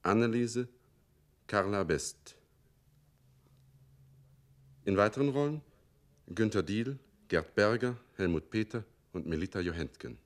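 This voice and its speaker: A man formally announcing the title and cast of a radio play in a deliberate, list-like reading. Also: on the low side, expressive. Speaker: credits announcer.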